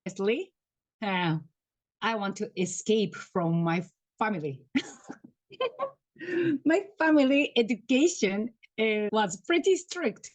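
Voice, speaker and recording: Woman, Japanese accent, thirties, halting English, soft laughs, slow pace, dry sound, video call. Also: mid-range voice, expressive, no room sound. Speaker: guest, tour guide. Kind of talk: casual interview over video call.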